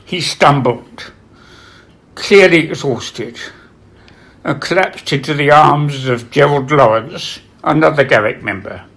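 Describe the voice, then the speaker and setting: A man reading literary biography aloud as its author, in a solemn, measured way with dramatic pauses. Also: fairly high pitch, vocal fry, a bit bright. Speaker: author reading from own book.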